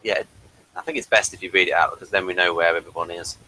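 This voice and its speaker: A man with a bright, forward voice hosts a casual review discussion. He talks in an explanatory way, setting out points conversationally. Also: deep voice, fairly even intonation, fast talker, slight background noise, rough recording. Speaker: podcast host.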